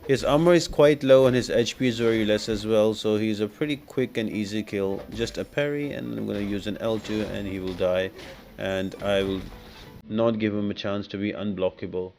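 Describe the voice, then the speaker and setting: A man's voice: lowish voice, moderate pace, darkish tone, a little hiss or hum. A man giving casual, explanatory walkthrough commentary as the host of a gaming guide. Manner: explanatory, instructional. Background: music.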